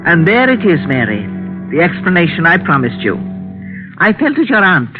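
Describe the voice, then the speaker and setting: An actress playing a fussy, anxious older aunt in a vintage radio drama, hesitant and rambling but quick-witted. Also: female voice, fairly low pitch, sing-song. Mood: confiding, suspenseful. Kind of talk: 1940s radio drama acting and first-person narration. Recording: tinny old radio recording, music behind.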